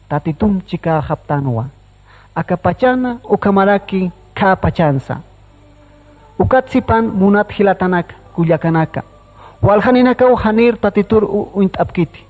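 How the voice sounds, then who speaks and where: An earnest evangelical pastor from a village radio drama. His voice sits high and, with a declamatory, exhorting delivery. It rings through long hall reverb on a slightly muffled recording.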